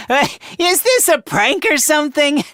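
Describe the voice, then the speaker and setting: A man's voice: extremely high pitch, sing-song, measured pace, bright, forceful tone, no room sound, clean studio sound, exaggerated voice. A man voicing a nervous, self-loathing teenage schoolboy in a video game, with a stammering, hesitant and defensive delivery. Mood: defensive, flustered. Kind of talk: English video game voice acting.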